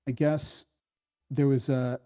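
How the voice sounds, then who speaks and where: Mellow-voiced man, rambling and hesitant. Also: medium pitch, level tone, slow pace, smooth. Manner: rambling, hesitant, hedging with many false starts. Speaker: screenwriting workshop critic giving script notes. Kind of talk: casual spoken feedback on a screenplay.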